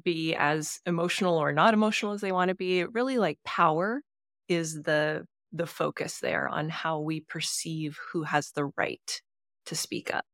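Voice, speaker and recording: Woman podcast co-host, lowish voice, casual book chat, dry close mic. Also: fairly even intonation, normal speed, fairly bright tone, dry recording. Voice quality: fairly low voice for a woman. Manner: thoughtful, agreeable, many fillers.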